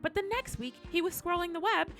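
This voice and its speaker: A cheeky, shameless little goblin in a comedy skit. The voice is high and squeaky with plenty of gravel, swinging wildly in pitch over clear background music. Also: female voice, a little fast, fairly bright tone. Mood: brazen. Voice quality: squeaky, creaky. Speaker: reader of viewer tips. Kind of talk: comedic character reading. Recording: clear music bed behind.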